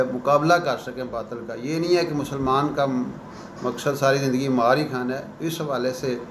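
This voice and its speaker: A man giving unscripted current-affairs commentary as a professor, in an earnest, halting way with pauses and repeated phrases. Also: mid-range voice. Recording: echoey room with clear background noise.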